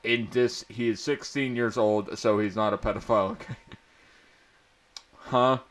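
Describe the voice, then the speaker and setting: A man's voice: lowish voice, steady tone, fairly quick. A man doing a comedic dramatic reading of bad fan fiction, prone to stumbling and giggling as he reads. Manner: often breaking into giggles and stumbling over words.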